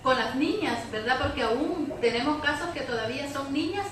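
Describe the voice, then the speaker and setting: A Salvadoran woman with a high voice offers halting, spontaneous panel remarks, checking in with tag questions. She sounds far from the microphone in a strongly echoing room. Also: expressive, fairly bright tone. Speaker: panelist from a women's support organization. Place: echoey room at a public debate. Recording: very echoey room, distant mic, faint noise.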